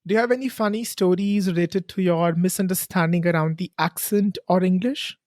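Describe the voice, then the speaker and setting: A podcast host who calmly asks short interview questions and gives brief backchannels. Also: mid-range voice, a little fast, no room sound, studio quality. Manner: calm, brief prompts and soft backchannels.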